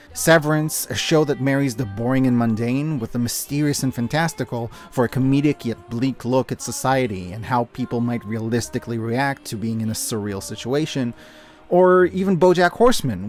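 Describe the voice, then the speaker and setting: A man narrating a scripted review with casual asides, speaking in an earnest, opinionated and emphatic way. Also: mid-range voice. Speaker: video essayist and media reviewer. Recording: music behind the voice. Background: music.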